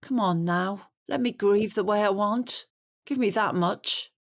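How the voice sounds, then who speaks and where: A woman's voice: mid-range voice, measured pace. An actress playing an older bereaved widow and mother in an audio drama, plaintive and stubborn in manner. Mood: stubborn, defensive. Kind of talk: naturalistic audio drama acting.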